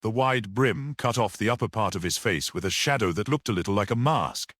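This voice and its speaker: A man's voice: fairly low pitch, lively intonation, fairly quick, fairly bright tone, dry recording, studio quality. A man reading a classic detective story as scripted audiobook narration. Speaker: audiobook reader.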